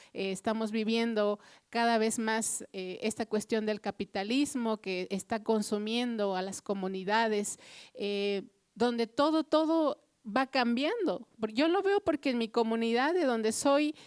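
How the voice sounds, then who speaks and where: Clear, bright-voiced woman, native Purépecha speaker. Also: on the high side, dry recording, studio quality. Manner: earnest, reflective, with frequent "eh" fillers. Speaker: translator at a book presentation. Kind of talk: formal public remarks. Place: official venue before an audience.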